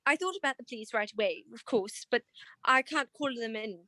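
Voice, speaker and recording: A woman's voice: a little high, fairly quick, sharp tone, no echo. A woman playing an anxious, genteel married client in a 1940s-style detective radio play, pleading with many stammered hesitations. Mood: flustered, pleading.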